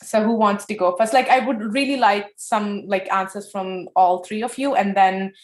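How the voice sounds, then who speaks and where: Lively woman moderator in an echoey room. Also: mid-range voice, moderate pace. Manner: lively, acts out dialogue in changing tones. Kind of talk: moderating a panel discussion.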